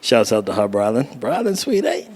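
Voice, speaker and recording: A man's voice: medium pitch, big pitch swings, fast talker, a little mellow. A middle-aged man from Miami, a photographer being interviewed, telling stories casually with frequent laughter. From Miami, United States. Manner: laughing, storytelling, informal. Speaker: guest photographer.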